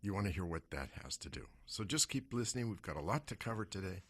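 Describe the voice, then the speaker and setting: Hoarse male radio host, husky, low voice, rhetorical, animated delivery. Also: fast talker. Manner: rhetorical questions, animated pitch swings. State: hoarse from smoke. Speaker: radio show host and investment advisor. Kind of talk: talk radio monologue.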